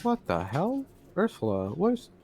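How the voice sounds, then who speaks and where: A man's voice: fairly high pitch, wildly swooping pitch, moderate pace, a little mellow, slight background noise. Man playing a boastful, grumbling jailed troublemaker. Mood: incredulous. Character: boastful, grumbling jailed male terrorist, self-declared cool. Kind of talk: improvised online roleplay, casual chat.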